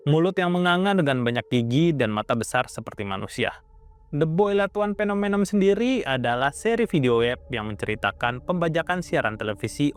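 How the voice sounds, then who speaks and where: A man narrating an explainer as a YouTube host, informal and slangy in his wording. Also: mid-range voice. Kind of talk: informal explainer narration about internet horror.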